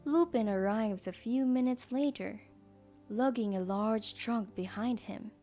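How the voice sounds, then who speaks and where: A woman narrator reads a scripted audio drama at an unhurried pace. Her tone is mellow, and faint music plays behind her storytelling. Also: fairly high pitch.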